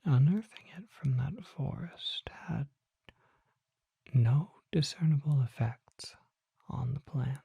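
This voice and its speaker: An ASMR performer voicing a mysterious, suave vampire apothecary merchant in a breathy whisper, with measured, formal and coy phrasing. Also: mid-range voice, mellow, dry recording, muffled recording, exaggerated voice. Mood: hushed, intrigued. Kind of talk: ASMR roleplay, soft whispering.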